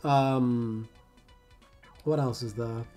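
A woman's voice: extremely low pitch, fairly even intonation, very slow, dark tone, soft background music. A young woman chatting on a stream in a laid-back, grumbling way, swearing now and then. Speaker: streamer and artist. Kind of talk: casual stream chat.

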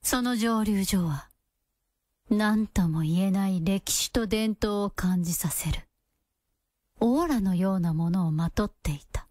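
Radio drama narrator, woman, scripted read, taking her time, medium pitch. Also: a little slow, a little mellow, no echo, studio quality.

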